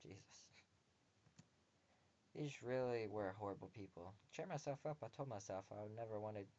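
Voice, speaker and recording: Low-voiced man reading a visual novel. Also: moderate pace, darkish tone, muffled recording. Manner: breaks off the reading for joking, crude asides.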